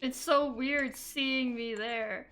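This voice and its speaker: A woman's voice: high-pitched, fairly even intonation, slow pace, fairly bright tone. A woman streaming a game, reacting casually in slangy talk.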